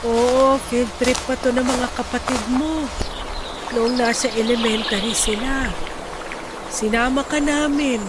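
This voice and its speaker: Gentle, high-voiced ailing mother, played by an actress. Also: fairly even intonation, a little slow, very noisy, natural voice. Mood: fond, nostalgic. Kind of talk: radio drama acting.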